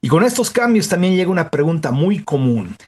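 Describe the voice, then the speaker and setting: A man's voice: fairly high pitch, big pitch swings, brisk, a little mellow. A man hosting a health interview program, introducing topics and welcoming his guest in a chatty way. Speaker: health show host.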